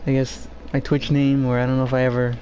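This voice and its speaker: A shy male streamer with a low voice, talking in an even, flat tone. He hesitates and fills gaps with "you know" and "like". A home desk microphone picks him up over a faint hiss. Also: fast. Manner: soft-spoken, shy and hesitant, many fillers. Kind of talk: casual gameplay stream chat.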